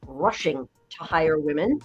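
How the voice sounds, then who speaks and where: Woman, 60s, voice coach guest, slightly low voice, emphatic storyteller, dull podcast audio. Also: animated, unhurried, faint music behind. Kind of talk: conversational podcast interview.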